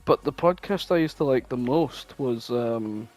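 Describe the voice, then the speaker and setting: A man's voice: mid-range voice, unhurried, a little mellow, soft background music. A man chatting casually as a podcast co-host, blunt, opinionated and free with swearing. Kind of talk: casual chat, reminiscing.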